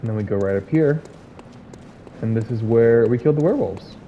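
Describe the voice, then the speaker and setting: A man giving casual gameplay commentary, hoarse from illness, rambling with many fillers and restarts. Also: mid-range voice, expressive, brisk, narrow, tinny audio, slight background noise.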